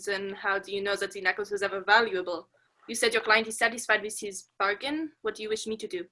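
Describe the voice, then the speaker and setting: A woman's voice: medium pitch, steady tone, fast talker, pushed and bright, poor audio. A woman playing a haughty, stern French police inspector in a radio play, formal and indignant. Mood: cool.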